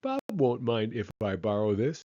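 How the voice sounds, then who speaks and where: A man's voice: mid-range voice, very animated, normal speed, warm tone, no echo, highs cut off. Man voicing an eager boyish storybook cat. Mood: casual. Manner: eager, exclamatory. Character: upbeat young cartoon cat, boyish and eager.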